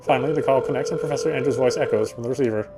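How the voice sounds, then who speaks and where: A man's voice: medium pitch, rapid-fire. A man reading a visual novel's narration aloud as he plays, now and then stumbling and rereading phrases.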